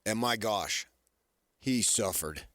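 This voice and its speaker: A man's voice: on the low side, takes it slowly, pushed and bright, dry recording. A man co-hosting a sports talk radio show in a casual, emphatic way, repeating his points and asking rhetorical questions on a close studio mic. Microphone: close studio broadcast mic.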